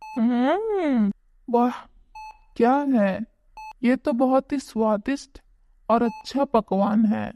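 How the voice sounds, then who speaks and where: A woman's voice: on the high side, lively intonation, slow pace, pauses often, dark tone. A woman voicing an ailing, grateful village mother in a Hindi cartoon story, drawing out her words. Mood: delighted.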